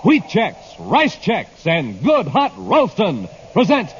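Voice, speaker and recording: A man's voice: high-pitched, wildly swooping pitch, slow pace. A man announcing and narrating in an emphatic, dramatic fifties radio style. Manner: emphatic, theatrical. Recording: noisy muffled old radio recording.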